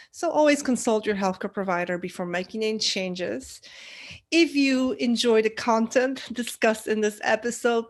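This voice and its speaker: A young woman hosting a podcast from home into a desk mic, upbeat and friendly. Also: medium pitch. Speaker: podcast host. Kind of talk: podcast interview. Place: home room. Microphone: desk microphone.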